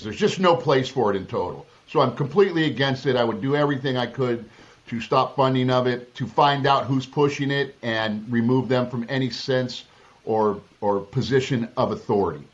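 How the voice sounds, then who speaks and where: Political candidate, male, from Southern California; emphatic, blunt delivery, restarts mid-sentence, slightly dull recording. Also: mid-range voice. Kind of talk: interview answers.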